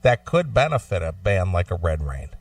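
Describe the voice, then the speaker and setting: A man with a fairly low voice co-hosts a radio talk show, moving between reading news copy and casual discussion. The sound is dry, and he sits a little back from the microphone. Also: sing-song, normal speed, no room sound, far from the mic, soft background music. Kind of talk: reading news aloud and chatting about it.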